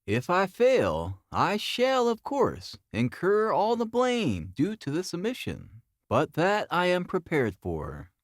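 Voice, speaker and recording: A man's voice: high-pitched, wildly swooping pitch, takes it slowly, hardly any pauses, no echo, clean studio sound, pitched above the performer's own voice, full character voice. A man voicing a shrewd, commanding detective in an audiobook, speaking precisely and formally with great self-assurance. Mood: confident, measured. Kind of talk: audiobook character dialogue.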